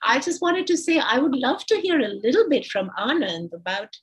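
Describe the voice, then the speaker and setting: Woman, 50s, panel author. Husky, earnest, restarts a lot. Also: sits high, big pitch swings, moderate pace. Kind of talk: panel discussion.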